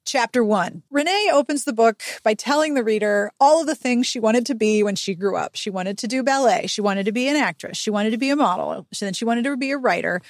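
A woman's voice: fairly high pitch, fairly quick, few pauses, dry recording, clean studio sound. A woman hosting a casual book recap chat, talking playfully with dramatic emphasis. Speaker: podcast host.

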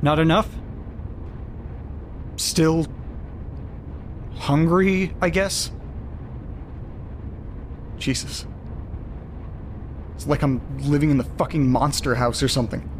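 A man's voice: a little high, fairly even intonation, slow, pauses often, a little hiss or hum. An actor playing a bewildered, sardonic, sweary man alone at night, muttering hesitantly in an audio drama monologue. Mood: shaken, incredulous. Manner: hesitant, muttering, dryly sarcastic. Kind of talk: audio drama monologue, recorded-diary delivery.

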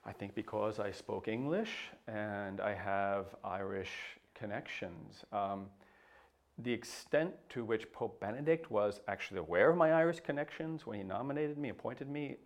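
A middle-aged man speaks in a lowish voice. He answers an interviewer slowly and reflectively, stumbling into frequent restarts and fillers. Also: animated. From United States. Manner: hesitant, many restarts and fillers. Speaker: papal nuncio, interview guest.

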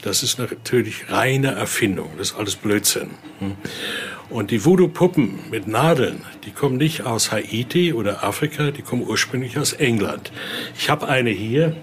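A man's voice: medium pitch, expressive, moderate pace. A man explaining things informally as a guide, often checking in with short tags at the end of phrases, recorded in an exhibition room. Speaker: museum guide and expert. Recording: clearly noisy indoor ambience.

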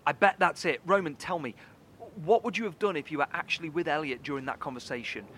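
A man's voice: fairly high pitch, fast talker, fairly bright tone, a little hiss or hum, close to an everyday voice. An actor playing an inquisitive, earnest man in a horror audio drama, probing and analytical as he speaks. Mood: intrigued, urgent. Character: inquisitive, earnest man piecing a mystery together.

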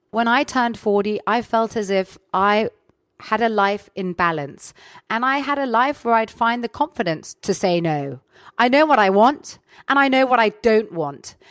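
A woman's voice: medium pitch, no room sound, clean studio sound. A woman in her forties co-hosting a casual chat, talking in an earnest, reflective way. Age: 40s.

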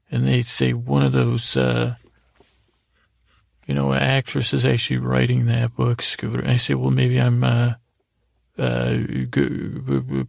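A man hosting a bedtime podcast in a gravelly voice, meandering and halting as he rambles in a lighthearted, whimsical way. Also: medium pitch, no echo, clean studio sound. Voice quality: creaky, gravelly. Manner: meandering, hesitant, halting, trailing off. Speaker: podcast host. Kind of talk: rambling bedtime monologue to help listeners sleep.

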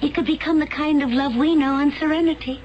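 A woman's voice: sits high, steady tone, quick pace, lightly acted. An actress playing a fervent, troubled woman from another planet in a 1970s radio drama, speaking earnestly in formal phrases. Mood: calm, resolved. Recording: muffled, noisy 1970s radio broadcast.

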